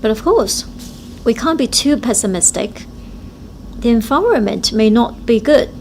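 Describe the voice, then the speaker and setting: Female simultaneous interpreter, slow and measured, speaking in short chunks. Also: on the high side, lively intonation, a little mellow, a little hiss or hum, poor audio. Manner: halting, short phrase-by-phrase delivery. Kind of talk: live interpretation of speeches.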